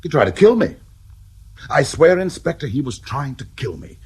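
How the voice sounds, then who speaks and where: A man's voice: fairly high pitch, sing-song, moderate pace, darkish tone, husky, exaggerated voice. An actor playing a desperate, guilty nobleman in a radio drama, speaking earnestly and pleadingly. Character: desperate, guilty aristocratic male lover.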